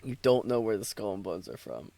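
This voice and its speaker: A man's voice: lowish voice, sing-song, a little fast, vocal fry, dark tone, dry recording. A man streaming, switching between casual, sweary banter and narrating a story aloud. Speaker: streamer reading fan fiction aloud.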